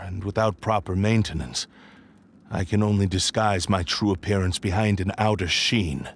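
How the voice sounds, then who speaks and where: A grieving sword spirit with an ancient soul speaks in a very deep voice. He is slow and grave and sighs between his thoughts. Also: slight background noise. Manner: slow, weary and grave, sighing. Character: ancient sentient male sword spirit, sorrowful.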